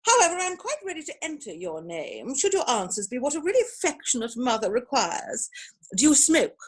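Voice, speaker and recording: A woman plays a haughty Victorian matriarch from a stage comedy. Her voice is high and husky, and it swoops grandly as she pronounces on everything with pompous, snobbish certainty. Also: sing-song, full character voice. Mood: brisk, appraising. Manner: pompous, haughty, emphatic, deliberate delivery. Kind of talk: theatrical comedy of manners reading. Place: virtual performance from home in isolation.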